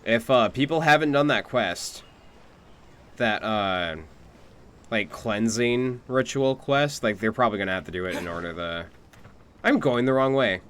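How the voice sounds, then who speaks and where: A young man chatting casually on a game livestream, thinking aloud in a halting way. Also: mid-range voice, fairly bright tone, slight background noise. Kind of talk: casual stream chat. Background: game music.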